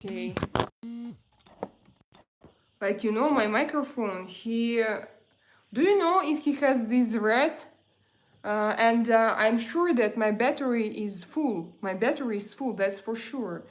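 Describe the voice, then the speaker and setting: A woman from Russia with a Russian accent, chatting casually as a livestream host and answering viewers in halting English with repeated phrases. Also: a little high, animated, poor audio.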